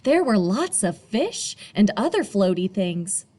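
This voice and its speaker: Slow, very animated woman storyteller. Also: mid-range voice. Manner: teacherly, asking young listeners questions and pausing. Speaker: storyteller reading to children. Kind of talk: expressive picture-book read-aloud.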